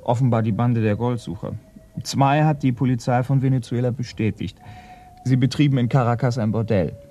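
An actor playing a dutiful, matter-of-fact detective's assistant in a radio crime play, measured and methodical, slightly hesitant. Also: male voice, medium pitch, steady tone, mellow, close to an everyday voice. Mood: factual, reporting. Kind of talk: German radio play acting.

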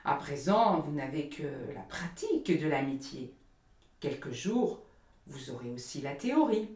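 A woman voicing a selfish, sanctimonious male miller, lecturing loudly in a storybook reading. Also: low voice, big pitch swings, measured pace. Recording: roomy echo.

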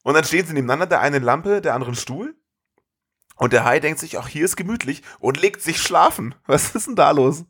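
A man co-hosting a podcast, chatting casually about films in an animated, incredulous way full of colloquial exclamations. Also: fairly high pitch, fairly quick, husky. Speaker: podcast co-host. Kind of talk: casual film review chat.

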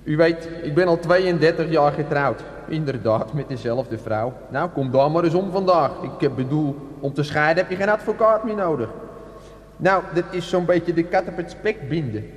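A man's voice: fairly high pitch, animated, normal speed, close to an everyday voice. Man playing echoey, pleading older used-car dealer. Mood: chatty, pleading. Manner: salesman patter with colloquial asides. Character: chatty middle-class used-car dealer, repentant sinner, self-excusing. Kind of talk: comic radio play prayer monologue. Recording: echoey hall with long reverb.

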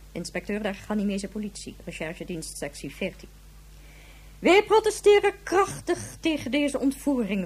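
A woman's voice: fairly high pitch, darkish tone, slightly rough. An actress playing a stern female head of government in a radio drama, speaking in a formal, commanding way. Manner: formal, commanding, clipped officialese.

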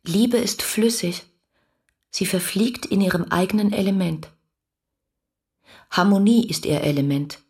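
Husky, passionate young romantic woman. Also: mid-range voice, a little slow, pauses often, no echo, studio quality. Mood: dreamy, intimate. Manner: impassioned, intimate, expressive delivery. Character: passionate, headstrong, dreamy young woman letter writer. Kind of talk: literary radio drama, letters read aloud.

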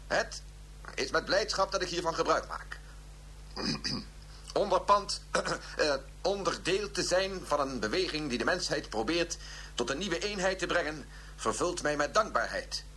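An actor playing a stiff professor giving a stilted public address in a Dutch radio drama, with odd emphases and a nervous little cough. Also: male voice, fairly high pitch, expressive, a little slow, pauses often, pushed and bright, a little hiss or hum. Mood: stiffly formal. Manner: stilted, odd emphases, frequent throat clearing. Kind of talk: Dutch science fiction radio drama acting.

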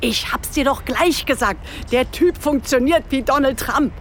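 High-voiced secretive campaign aide. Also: female voice, measured pace, a little hiss or hum. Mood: conspiratorial. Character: female campaign aide, secretive, matter-of-fact political handler.

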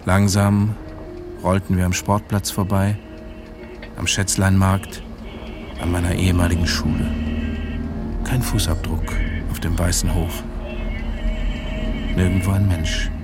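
An actor playing a reflective, weary middle-aged narrator, reading literary radio prose in a measured, melancholic way. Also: male voice, deep voice, level tone. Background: music.

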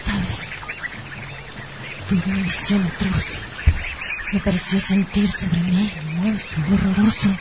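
A woman's voice: medium pitch, fairly even intonation, close to an everyday voice. A woman playing a tormented, obsessed young wife with dramatic delivery in a lurid radio melodrama. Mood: horrified. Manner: slow, anguished, dramatic delivery. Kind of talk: amateur radio melodrama acting. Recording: muffled lo-fi radio, noisy background.

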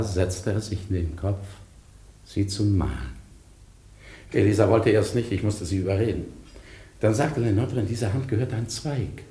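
An actor playing a courteous, haunted returned emigrant in a German radio drama, speaking deliberately and theatrically. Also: male voice, fairly low pitch, mellow, breathy edge.

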